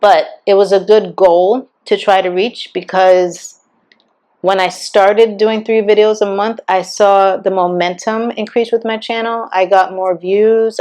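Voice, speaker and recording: A woman with a slightly raspy voice talking candidly and chattily in a casual personal vlog. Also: mid-range voice, a little mellow. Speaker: vlogger.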